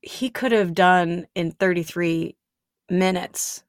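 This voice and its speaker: A woman's voice: on the low side, takes it slowly, no echo, studio quality. A woman speaking earnestly and emphatically in a casual faith conversation, building her points with repetition.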